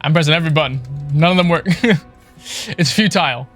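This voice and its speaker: Higher-voiced man grumbling through a game stream. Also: a little fast, a bit bright. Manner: foul-mouthed, exasperated grumbling. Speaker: livestreamer playing a video game. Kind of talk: casual gameplay commentary. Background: video game music.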